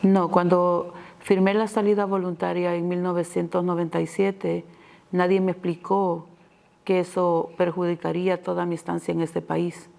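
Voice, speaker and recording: Salvadoran woman, 50s, interviewee; gravelly, monotone, earnest testimony. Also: on the low side, moderate pace.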